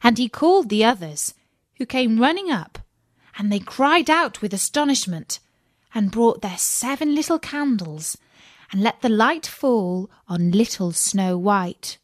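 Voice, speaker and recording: A woman narrating a scripted children's audiobook as an expressive, measured storyteller. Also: on the high side, no room sound, clean studio sound.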